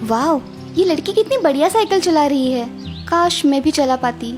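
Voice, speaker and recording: A woman acts a young cartoon wife with a high, sweet voice. She is gentle, dutiful and determined, speaking earnestly and dramatically. Music runs underneath. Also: expressive, moderate pace. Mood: wistful. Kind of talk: Hindi moral-story cartoon dubbing.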